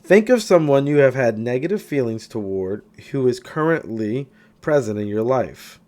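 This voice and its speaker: A man's voice: medium pitch, animated, moderate pace, darkish tone. A man reading a self-help audiobook in an emphatic way, now and then stumbling over a word. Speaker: audiobook reader. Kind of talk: scripted audiobook narration of a self-help text.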